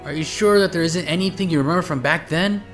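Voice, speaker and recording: A man playing a brisk, theatrical police detective in an audio drama, speaking expressively. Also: a little high, soft background music, natural voice. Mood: inquisitive.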